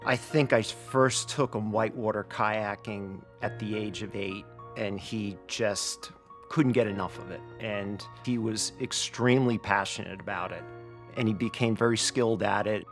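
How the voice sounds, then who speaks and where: A man's voice: on the low side, fairly even intonation, moderate pace, faint music behind. A middle-aged man speaking reflectively in a documentary interview. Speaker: interviewee, father.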